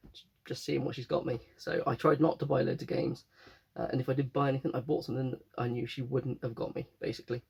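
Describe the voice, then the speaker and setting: A man's voice: medium pitch, vocal fry, darkish tone, breathy edge. A young man with a cold, chatting casually and rambling about his latest finds, often correcting himself. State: croaky with a cold. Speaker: game collector vlogger. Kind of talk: casual chat.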